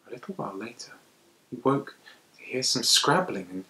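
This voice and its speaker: A man narrates folktales for a young audience. His delivery is theatrical and animated, moving between dramatic pauses and hushed asides. His pitch sits in a medium male range. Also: rough recording. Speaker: storyteller.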